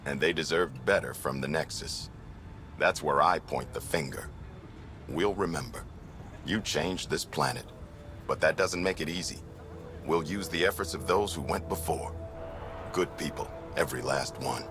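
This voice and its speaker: An actor playing a gruff, plain-spoken middle-aged frontier mayor in a video game, speaking in clipped, resolute, folksy phrases. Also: male voice, normal speed, over music. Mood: solemn, resolute. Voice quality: very deep voice. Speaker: colony mayor character. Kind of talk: video game dialogue acting.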